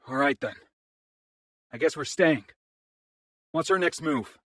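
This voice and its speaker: A man's voice: a little high, lively intonation, slow, fairly bright tone, no room sound, exaggerated voice. A man playing a reluctant, responsible young hero in a fantasy drama. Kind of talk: fantasy audio drama acting.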